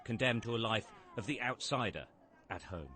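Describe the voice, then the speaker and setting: A man reporting as a news correspondent in a measured, grave tone, narrating scripted news. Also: on the low side, lively intonation, a crowd in the background. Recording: outdoor location with loud background noise.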